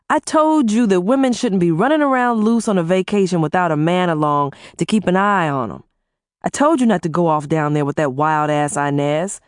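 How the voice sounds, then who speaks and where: A bossy, hot-tempered husband who swears freely and scolds, as a woman puts him on. His voice sits well above the narrator's low register, pushed loud and firm, and sounds close and dry. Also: no echo, close to an everyday voice. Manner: gruff and profane, scolding. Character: blunt, bossy husband, adult man, quick-tempered.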